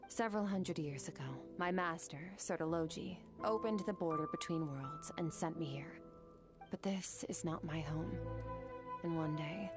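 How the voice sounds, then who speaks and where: A woman's voice: lowish voice, close to an everyday voice. A woman voicing an aloof female swordmaster from another world in a game cutscene, talking in a clipped, matter-of-fact way. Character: aloof female swordmaster from beyond the stars, cool. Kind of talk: video game cutscene dialogue. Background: game music.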